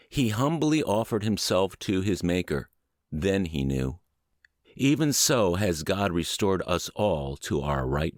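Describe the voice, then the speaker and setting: Low-voiced man narrating with lively intonation. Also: no echo, studio quality. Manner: earnest, measured, expressive reading. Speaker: podcast narrator reading a book aloud. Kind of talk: scripted audiobook narration.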